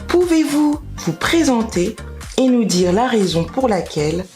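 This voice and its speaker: A woman hosts a language-school podcast. She reads prepared questions in a formal, welcoming tone. Music plays clearly underneath her voice. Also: a little high, big pitch swings, measured pace, a little mellow. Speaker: podcast host. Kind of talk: scripted interview questions. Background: background music.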